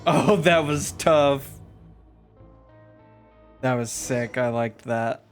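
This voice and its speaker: A man's voice: mid-range voice, drawn-out words, faint music behind. A man casually reacting and commenting over gameplay as a streamer. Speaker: game streamer.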